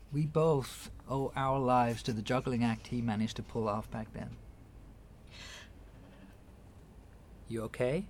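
A man's voice: medium pitch, darkish tone, a little hiss or hum, natural voice. An actor in a radio play voicing an earnest, patient man who speaks hesitantly, with small stammers. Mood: insistent, gentle. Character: earnest, patient man gently persuading a younger relative. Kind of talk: naturalistic radio play acting.

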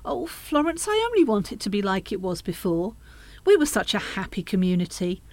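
A woman playing a reproachful middle-aged grandmother, very animated high pitch, coaxing, self-justifying, radio play, dry sound. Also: fairly quick, no room sound, close to an everyday voice. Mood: defensive, pleading. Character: pleading, reproachful grandmother, anxious to smooth things over.

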